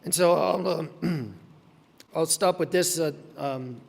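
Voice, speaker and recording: A man giving a conference talk, hoarse and losing his voice, halting with many ums and restarts. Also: on the high side, in a large hall. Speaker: conference presenter. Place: conference hall.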